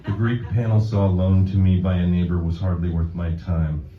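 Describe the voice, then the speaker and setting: A man with a very low voice reads poetry at a live event. His delivery is deadpan and measured, and the sound is echoey, picked up from well back in the room. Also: crowd noise. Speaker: poet reading own work. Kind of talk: live poetry reading with informal introduction. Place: live reading event before an audience. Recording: dull, roomy, distant event recording.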